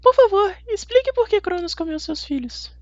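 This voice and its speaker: Casting: snide schoolgirl bully for an audiobook, played by a man, shrill high pitch, whiny and mocking. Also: animated, normal speed, dry recording, lo-fi sound. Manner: whiny, sarcastic sneering. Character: snide, mocking teenage girl bully, classmate. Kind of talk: audiobook character voice.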